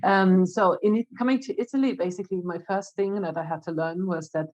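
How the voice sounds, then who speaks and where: A woman with a German accent answering interview questions in a chatty way, laughing often and pausing with fillers. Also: on the low side, darkish tone, muffled recording. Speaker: guest, marketing director.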